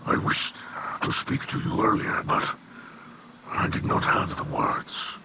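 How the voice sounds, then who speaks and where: Man doing a solemn alien chieftain for a game, raspy breath, formal, halting n slow, noisy ambience. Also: natural voice. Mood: remorseful. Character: grave, proud male alien warrior leader and father. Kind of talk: video game dialogue acting.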